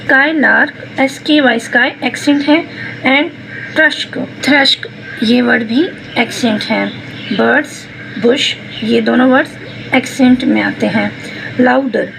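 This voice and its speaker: A woman with a Pakistani accent explaining exercises as a teacher, spelling out words and switching between explanation and slowly read phrases. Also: high-pitched, creaky, low-quality recording. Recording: noticeable background noise.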